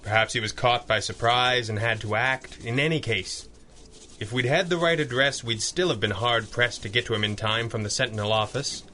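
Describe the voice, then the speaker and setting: Male actor as crisp forceful masked hero, calm, commanding, formal diction, 1930s radio drama, faint noise behind. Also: mid-range voice, normal speed, natural voice. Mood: calm, grave. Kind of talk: 1930s radio serial acting.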